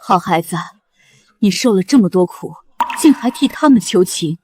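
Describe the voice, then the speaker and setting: A woman's voice: mid-range voice, lively intonation, moderate pace, warm tone, slightly rough. Regal mother goddess, stern, formal decrees. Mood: tender.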